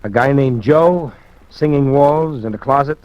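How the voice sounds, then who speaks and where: Male actor as a no-nonsense detective, firm and clipped, mid-pitched, dull vintage radio sound. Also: measured pace, no room sound, lightly acted. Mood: steady firm. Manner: firm, clipped, calls others kid. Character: tough, steady male police detective. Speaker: police detective. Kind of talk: 1940s radio acting. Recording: dull old radio broadcast.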